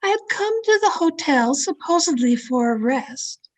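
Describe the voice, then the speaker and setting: Woman acting a high-pitched, haunted radio heroine. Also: expressive, poor audio. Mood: haunted, intense. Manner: melodramatic, deliberate. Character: restless, haunted woman narrating her mystery tale. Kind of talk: old-time radio drama acting.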